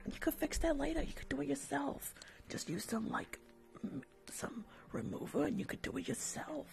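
Rude, gum-popping young nail tech, soft whisper, a touch high and gravelly, as a woman plays her for ASMR. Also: expressive, normal speed, over music, heavily acted. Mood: catty. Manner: catty, dismissive, chatters on the phone mid-job; pops gum. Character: rude gossiping young female nail technician. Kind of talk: ASMR roleplay.

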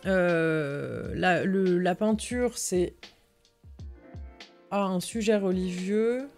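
A woman's voice: lowish voice, drawn-out words, a little mellow. A woman co-hosting a livestream, translating and commenting on an article as she reads it. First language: French. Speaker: livestream co-host. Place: indoor room, livestream. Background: music.

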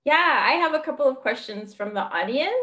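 Higher-pitched middle-aged woman host. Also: animated, a little fast, sharp tone. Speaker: event host relaying audience questions. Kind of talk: casual chat. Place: indoors, on a video call. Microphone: video call microphone.

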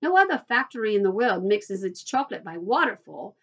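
A woman's voice: mid-range voice, very animated, a little fast, fairly bright tone, lo-fi sound. A woman voicing an exuberant, boastful male factory owner from a children's storybook, repeating phrases for emphasis. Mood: proud, eager.

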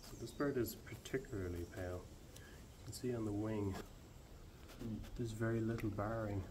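Low-voiced Irish man explaining owl ringing. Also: moderate pace, background noise. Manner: calm, explanatory. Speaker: barn owl ringer. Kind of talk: explaining fieldwork on camera. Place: open farm shed outdoors.

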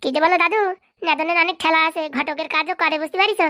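A woman's voice: extremely high pitch, bright, forceful tone, full character voice. Woman voicing a cocky scheming village boy. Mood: wheedling. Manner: bossy, fast-talking, wheedling. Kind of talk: comic cartoon voice acting.

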